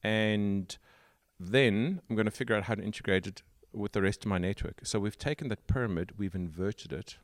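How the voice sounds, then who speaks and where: A South African man hosts a casual tech podcast chat. His voice sits very low, and he takes his time explaining with fillers. The sound is dry and close, with no room around it. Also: no room sound. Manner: explains at length with pauses and fillers. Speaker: podcast host.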